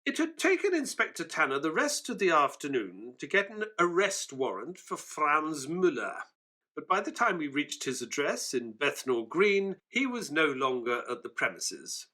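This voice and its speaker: An actor playing an affable, mild-mannered Victorian doctor who narrates a detective audio drama and joins in the dialogue. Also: male voice, fairly high pitch, big pitch swings, normal speed, bright, forceful tone, heavily acted. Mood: narrating.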